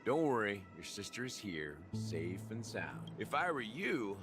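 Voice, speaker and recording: A man's voice: fairly low pitch, very animated, a little slow. A man voicing a teasing, sly, theatrical trickster in a video game. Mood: teasing, smug. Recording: music underneath.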